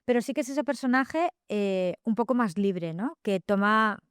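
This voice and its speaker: A middle-aged woman with a Catalan accent, a novelist talking reflectively in an interview, often pausing with fillers and tag questions. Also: medium pitch, lively intonation, clean tone, no room sound, clean studio sound. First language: Spanish. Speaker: guest novelist. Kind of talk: thoughtful interview talk.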